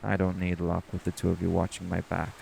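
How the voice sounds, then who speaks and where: A man's voice: deep voice, completely flat delivery, fairly quick, creaky, darkish tone, a little hiss or hum. A man playing a stern, protective shifter who leads a dive in an audio roleplay, speaking seriously and cautiously. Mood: softly concerned. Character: stern, protective male marine shifter, bossy diving leader. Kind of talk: scripted audio roleplay acting.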